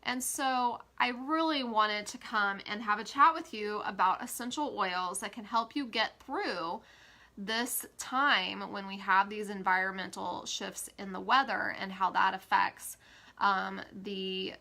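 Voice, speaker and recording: Bright-voiced congested woman, chatty. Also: mid-range voice, a little slow. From Kansas, USA. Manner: chatty, many fillers. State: congested, stuffy nose. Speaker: essential oil educator. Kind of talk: casual social media live talk.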